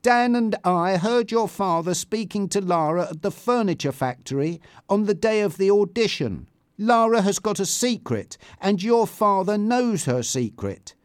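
A man acts a guarded young woman in an audiobook story. The voice is lifted high and moves in a lively way, earnest and defensive with a jealous streak. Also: unhurried, few pauses, no room sound, studio quality. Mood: insistent.

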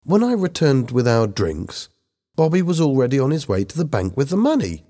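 A man's voice: medium pitch, wildly swooping pitch, a little fast, mellow, voice pushed up. A man voicing an exasperated, sarcastic teenage girl in a story, challenging and exclaiming. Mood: indignant.

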